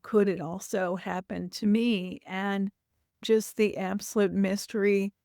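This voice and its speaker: Clear-voiced woman in her sixties. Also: mid-range voice, steady tone, measured pace, warm tone, dry recording, studio quality. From Louisville, United States. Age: 60s. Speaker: author, interview guest. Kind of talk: reflective interview talk.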